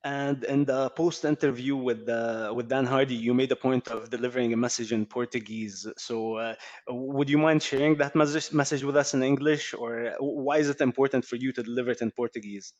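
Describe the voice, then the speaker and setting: A man's voice: mid-range voice, steady tone, moderate pace, lo-fi sound. A male reporter asking a fighter questions, hesitant and full of fillers. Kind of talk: press conference question.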